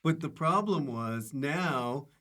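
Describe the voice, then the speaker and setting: A male podcast host with a mid-pitched voice. He chats casually and drops in short, wry asides. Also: expressive, takes it slowly, fairly bright tone. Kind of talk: casual chat, wry interjections.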